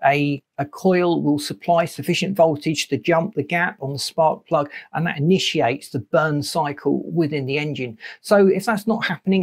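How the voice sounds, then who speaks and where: A man in his forties presenting an explanatory tutorial in a measured, methodical way. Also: a little high, breathy edge. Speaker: presenter of a car maintenance channel.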